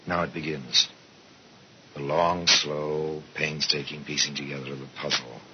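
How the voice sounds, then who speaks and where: An actor playing a worldly, sardonic narrator of murder tales in a 1950s radio mystery, with measured, dramatic delivery. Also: male voice, extremely low pitch, little pitch movement. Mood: wry, ominous. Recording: muffled, very noisy old radio recording.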